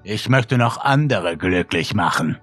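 A man voicing a sinister, smiling dealer of happiness, with a sweetly polite, slow and menacing manner in a horror story. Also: on the low side, lively intonation, fairly bright tone, breathy edge, dry recording, soft background music, full character voice. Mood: falsely sweet.

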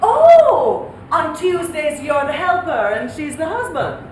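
Arch wife, swooping high voice. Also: female voice, sing-song, slow pace, heavily acted. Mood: arch, suspicious. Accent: Jamaican. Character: arch, suspicious professional wife. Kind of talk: live stage comedy-drama acting. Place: indoor theatre stage before an audience. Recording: echoey distant theatre, audience, background noise.

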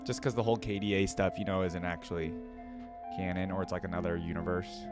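A man's voice: low-pitched, level tone, quick pace. A young adult man giving casual reaction commentary as the host of a video. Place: indoor desk setup. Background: game theme music.

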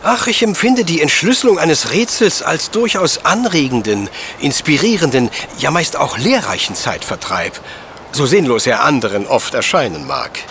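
An actor playing a courteous, coolly logical professor detective in a radio play, speaking in ornate, measured phrases. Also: male voice, fairly high pitch, big pitch swings, vocal fry, a bit bright, a little hiss or hum, exaggerated voice. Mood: politely probing. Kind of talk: German radio play acting.

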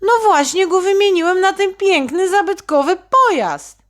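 A woman's voice: very high-pitched, moderate pace, voice pushed up. A woman voicing an eager, earnest young boy full of schemes in a children's story. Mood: excited, pleading. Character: eager, dreamy young boy set on a motorbike.

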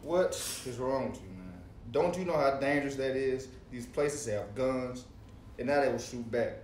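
A man's voice: mid-range voice, moderate pace, a little mellow, close to an everyday voice. A man playing a stressed, skeptical best friend in an amateur comedy table read, slangy, profane and incredulous. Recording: echoey room, faint noise.

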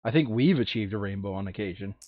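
A man's voice: fairly low pitch, expressive, fast talker, no room sound, lo-fi sound. A man playing a tabletop game online, switching between casual chat and reading game text aloud. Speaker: board game player reading out scenario text.

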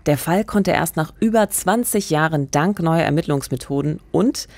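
A middle-aged woman with a low voice hosts a true-crime podcast, musing aloud in a reflective way. She speaks into a studio microphone, and the sound is close and dry. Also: normal speed, darkish tone, no echo. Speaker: podcast host. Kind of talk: scripted intro and thoughtful podcast chat. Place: radio studio. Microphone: large studio mic on a boom, headphones.

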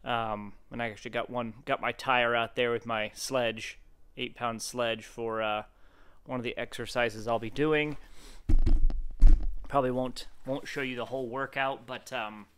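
A man in his forties talking casually and haltingly in a vlog, thinking aloud as he goes. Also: medium pitch, flat delivery, fairly bright tone, clean tone. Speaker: fitness vlogger. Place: wooden garage with the door open.

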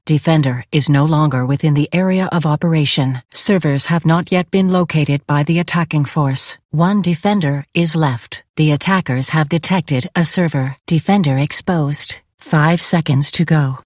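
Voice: mid-range voice, no echo, studio quality, close to an everyday voice. A performer voicing a cold, impassive artificial intelligence announcer in a video game, with clipped, formal, emotionless delivery.

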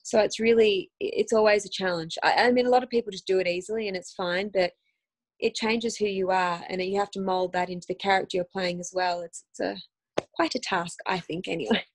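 A woman's voice: mid-range voice, fairly even intonation, brisk, dry recording. A woman in her thirties with an Australian accent, chatting as an interview guest over a phone video call from home, with lots of ums and restarts. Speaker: guest actress. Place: home room, indoors.

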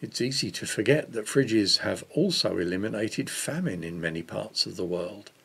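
Low, gravelly older man reading. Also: expressive, normal speed, fairly bright tone. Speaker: reader of a church Lent devotional. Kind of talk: reading a devotional book aloud.

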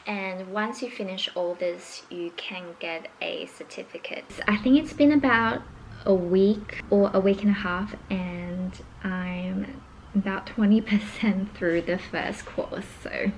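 A woman's voice: mid-range voice, takes it slowly, rough recording. A woman, chatting casually to camera as a vlogger at home. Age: 20s. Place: indoors at home, living room or bedroom. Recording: noticeable background noise.

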